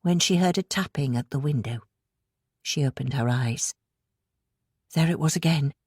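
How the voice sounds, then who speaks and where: A woman's voice: deep voice, normal speed, darkish tone, husky, no room sound, studio quality. A woman reading a suspense audiobook as narrator, telling the story expressively and dramatically. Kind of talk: scripted suspense fiction narration.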